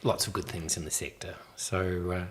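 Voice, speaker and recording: A man hosting a podcast, relaxed and affable, talking casually with plenty of fillers. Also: low-pitched, moderate pace. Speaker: podcast host.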